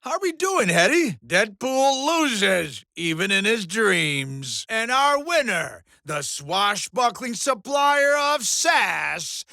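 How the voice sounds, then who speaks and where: A man's voice: sits high, big pitch swings, takes it slowly, vocal fry, hardly any pauses, pushed and bright, dry recording, studio quality, full character voice. A man voicing a flamboyant, ratings-hungry alien showman in a video game, announcing bloodsports with theatrical, sarcastic bombast. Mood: showy hype. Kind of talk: video game villain voice acting as sports announcer.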